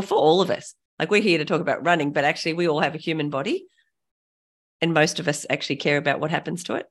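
The giggly, chatty woman with the lowish voice who hosts an upbeat interview podcast you'd play on a morning jog. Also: vocal fry. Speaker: podcast host. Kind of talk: upbeat casual interview chat.

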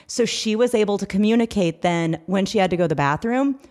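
A woman's voice: mid-range voice, brisk, a little mellow. Earnest middle-aged woman podcast host. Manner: earnest, explanatory, parent giving advice. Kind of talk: casual podcast chat.

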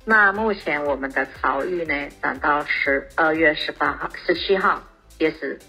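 Low, bright, husky Taiwanese Mandarin woman. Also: normal speed, faint music behind, poor audio. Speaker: museum staff member. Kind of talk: informational announcement of exhibitions.

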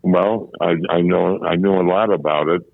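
A very deep-voiced older man comes through a thin, tinny phone line. He talks haltingly, circling back and repeating words as he recalls his past. The sound is close and dry, with no room around it. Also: steady tone, gravelly, no room sound. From Chicago, USA. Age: 70s. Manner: halting, repeats and restarts phrases. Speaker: interviewee, former policeman. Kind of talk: reminiscing in a phone interview. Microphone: telephone.

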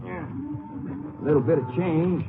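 An actor playing a matter-of-fact, observant Texas Ranger partner with clipped, folksy speech in an old-time radio drama. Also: male voice, fairly high pitch, a little slow, creaky, background noise. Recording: narrow-band old radio recording with music.

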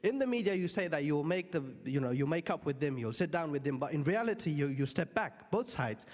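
A man puts a question from the audience. His voice is fairly high and his delivery halting. It echoes in a large hall. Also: expressive. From Afghanistan.

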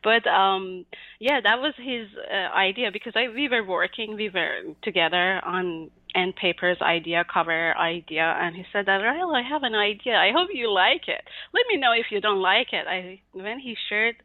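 A woman's voice: medium pitch, animated, no room sound. A woman from Iran, a guest illustrator in a casual interview, talking with many fillers and little laughs. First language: Persian. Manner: warm, giggly, halting with many fillers. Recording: tinny phone-like line.

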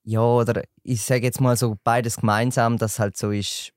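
A young man from St. Gallen with a Swiss German accent, a podcast guest chatting in a relaxed, joking way. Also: mid-range voice, normal speed, dry recording, studio quality. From St. Gallen, Switzerland. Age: young adult. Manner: relaxed, joking, laughs while talking. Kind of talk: casual podcast chat.

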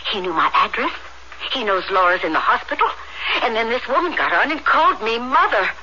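A woman in a radio drama playing a distraught middle-aged mother, speaking in a flustered, halting, stammering way. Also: on the high side, sing-song, sharp tone. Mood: upset, agitated. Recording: muffled, faintly noisy old radio recording.

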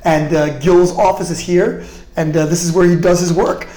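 A man hosting a podcast interview in a chatty, curious, casual way, quick to react to what he hears. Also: high voice, steady tone, mellow, reverberant, slight background noise, poor audio. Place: indoor office in a parliament building.

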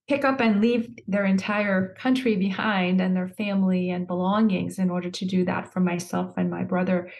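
A woman's voice: fairly low pitch, vocal fry, darkish tone. A middle-aged woman from Iran, an education nonprofit leader, answering interview questions earnestly and articulately, with fillers.

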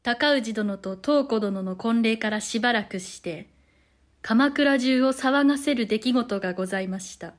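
A woman's voice: a little high, moderate pace, natural voice. A woman narrating a Japanese historical drama from a script in formal, polite storytelling language.

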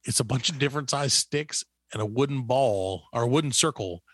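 A man's voice: mid-range voice, a little fast, no echo, studio quality. A middle-aged man hosting a show with casual comedic banter, rambling and mock-boastful. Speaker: show host.

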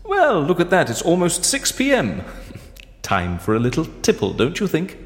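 A cunning, chatty male prisoner for an audio drama. His manner is genteel and playful, arch and coaxing, with animated pitch. Long reverb trails every phrase. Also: normal speed. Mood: sly, playful.

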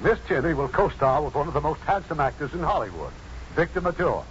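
A man hosts an old-time radio show. His voice is fairly high and very animated, reading from a script. The recording is muffled. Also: fast talker. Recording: dull, noisy 1950s radio recording.

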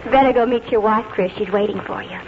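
A woman's voice: mid-range voice, quick pace, lightly acted. An actress playing an affectionate, fussing young woman in 1940s radio drama style. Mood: affectionate, brisk. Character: bright, affectionate young grown woman, fussing over aunt. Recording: muffled, very noisy old radio broadcast.

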